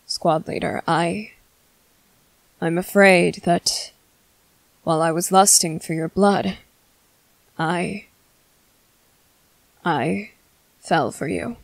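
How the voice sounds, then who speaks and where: A woman acts out a male vampire commander for an ASMR roleplay, low and very slow, with formal, halting courtesy. The voice has a gravelly fry and sits close and dry, as hunger presses against careful self-restraint. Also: fairly even intonation, no echo. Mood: hesitant, yearning. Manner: formal, halting, measured, apologetic. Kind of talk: scripted ASMR roleplay monologue.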